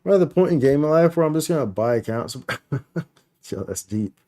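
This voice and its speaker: A man's voice: fairly high pitch, lively intonation, moderate pace, mellow. A man chatting casually on a live gaming stream, slangy and playful, rambling and often repeating his phrases. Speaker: game streamer, host.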